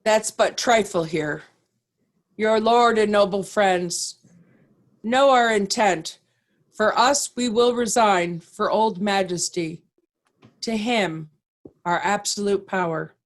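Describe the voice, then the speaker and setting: A woman's voice: medium pitch, slow, long pauses, lightly acted. A woman playing a grave, dignified noble duke in a Shakespearean play reading. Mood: grave, commanding.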